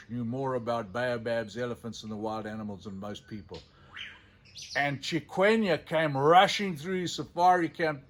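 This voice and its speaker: A man telling stories in an animated, unscripted way, theatrical and given to dramatic pauses. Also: medium pitch. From Zimbabwe. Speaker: storyteller, sculptor presenting his own elephant figures.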